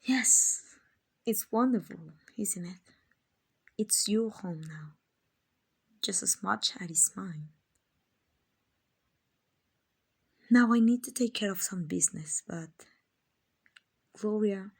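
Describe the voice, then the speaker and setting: A woman playing a kind, doting vampire owner in an ASMR roleplay, soft and often whispering, gently coaxing and reassuring. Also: medium pitch, takes it slowly, gravelly, pauses often, husky, full character voice. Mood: welcoming. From Mexico. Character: kind, doting, genteel female vampire owner, soothing and possessive.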